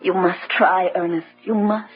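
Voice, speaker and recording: A woman playing a gentle but firm, proper sister-in-law in an old radio drama. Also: fairly low pitch, steady tone, a little slow, husky. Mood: soothing, firm. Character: composed, solicitous, restraining sister-in-law. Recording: dull vintage radio recording, music behind.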